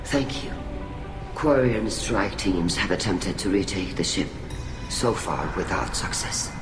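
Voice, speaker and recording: An actor playing a formal, measured male alien admiral chairing a hearing in a game cutscene. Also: low-pitched, lively intonation, over music. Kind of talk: video game cutscene dialogue. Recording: echoey game mix with background noise.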